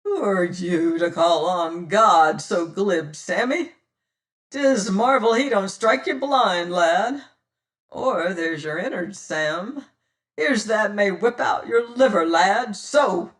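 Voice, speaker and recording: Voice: medium pitch, a bit bright, distant mic. A reader doing a mocking pirate captain. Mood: menacing. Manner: taunting, commanding, drawn-out menace. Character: menacing male pirate captain, cold and mocking. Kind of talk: audiobook character voice.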